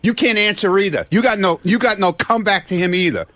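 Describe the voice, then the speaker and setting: A man's voice: high-pitched, fast talker, a bit bright, no echo. A man in a heated on-air argument in a radio studio, defensive and swearing, insisting on his point. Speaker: show staffer. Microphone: studio microphone.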